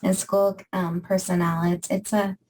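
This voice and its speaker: A woman's voice: medium pitch, level tone, a little slow, recorded from a distance, a little hiss or hum. A woman from Maine hosting an interview podcast, asking questions with frequent fillers. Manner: warm hesitant questions with fillers. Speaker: podcast host.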